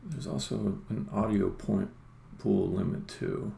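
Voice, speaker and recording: A man's voice: lowish voice, slow, vocal fry, dark tone. A man doing casual live-coding commentary as a game developer on a stream, muttering to himself in short, informal remarks as he thinks aloud. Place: indoor room at a computer. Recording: roomy echo, faint background noise, keyboard typing.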